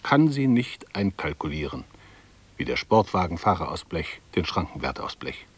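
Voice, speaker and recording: A man's voice: deep voice, very animated, normal speed, breathy edge, full character voice. An actor playing a fussy, fretful middle-aged male bank clerk thinking aloud in a radio drama, precise and ruminative. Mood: worried, fretful. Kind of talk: 1960s German radio drama monologue.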